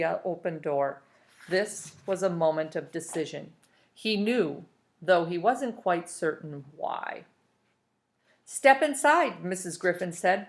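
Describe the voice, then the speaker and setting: A woman narrating a children's novel aloud as a storybook reader. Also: fairly low pitch.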